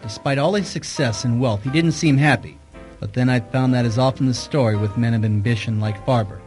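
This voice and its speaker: A man playing an observant hard-boiled private investigator who narrates his case in a wry, measured radio mystery style. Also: mid-range voice, darkish tone, soft background music, close to an everyday voice. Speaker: narrator and detective. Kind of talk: radio mystery drama acting with first-person narration.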